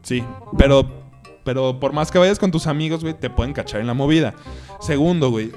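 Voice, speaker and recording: A man's voice: medium pitch, brisk, darkish tone, faint music behind. A man with a Mexican accent co-hosting a podcast, making his point in a casual, insistent way and dropping slang filler into almost every phrase. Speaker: podcast co-host. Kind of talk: casual chat.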